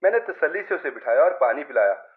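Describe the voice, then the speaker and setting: A man's voice: on the high side, big pitch swings, fast talker, narrow, tinny audio. A man narrating a scripted first-person story.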